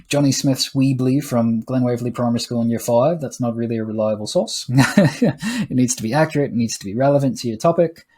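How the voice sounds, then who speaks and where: A man's voice: medium pitch, husky. A man, a teacher casually explaining an assessment rubric over a screen recording, with small laughs and asides. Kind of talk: screen-recorded lesson walkthrough.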